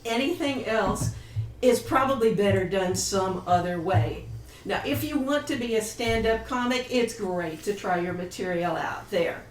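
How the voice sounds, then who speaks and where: A woman's voice: medium pitch, lively intonation, unhurried. A woman on a writers' panel, giving blunt, contrarian opinions with emphatic rhetorical questions. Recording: echoey, distant mic, faint noise.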